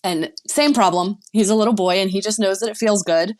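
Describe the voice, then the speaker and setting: A woman's voice: mid-range voice, fairly quick. A woman sex and relationship coach, a podcast guest, giving frank and encouraging advice. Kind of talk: podcast interview.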